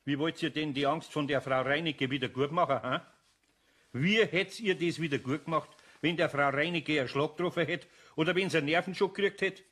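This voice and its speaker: Older Bavarian master craftsman: slightly high voice, gruff, grumbling, kindly, children's audio drama. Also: fairly quick, fairly bright tone. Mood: stern. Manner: stern, grumbling, good-natured. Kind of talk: children's audio play acting.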